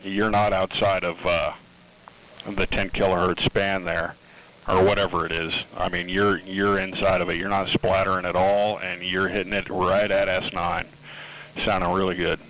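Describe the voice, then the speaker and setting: A man's voice: low-pitched, level tone, moderate pace. A man talking casually over two-way radio, easygoing and agreeable, using operator lingo. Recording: dull band-limited radio transmission.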